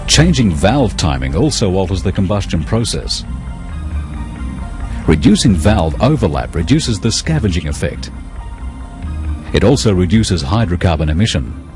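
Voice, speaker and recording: A man reading scripted technical narration for an educational film in a measured, explanatory, impersonal manner. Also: low voice, big pitch swings, a little mellow, soft background music.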